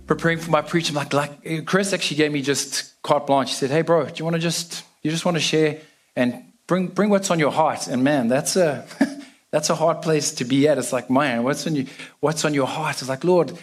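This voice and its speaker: A man's voice: fairly high pitch, moderate pace, soft background music. A young South African man giving a casual, joking sermon to a church congregation. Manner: casual, self-deprecating, chatty with fillers. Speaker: guest preacher.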